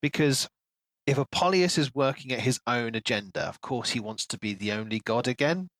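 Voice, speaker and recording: A man's voice: mid-range voice, fairly quick, fairly bright tone, no room sound. A man co-hosting a podcast, talking casually and analytically about a book as he thinks aloud. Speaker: podcast co-host.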